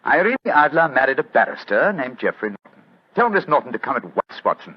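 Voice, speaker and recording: Aging English gentleman detective, imperious and theatrical, quick-fire, swooping delivery; old radio drama. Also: medium pitch, big pitch swings, husky. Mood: keen, brisk. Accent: British. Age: older. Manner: clipped, theatrical, commanding. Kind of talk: 1940s radio acting. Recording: dull old-time radio recording.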